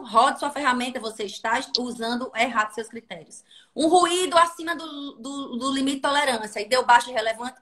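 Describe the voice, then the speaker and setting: Bright-voiced woman with a Sergipe accent. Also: on the high side. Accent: Sergipe, northeastern Brazilian. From Sergipe, Brazil. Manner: animated, instructive, checks in with "tá?". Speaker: guest labor inspector. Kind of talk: livestream teaching talk.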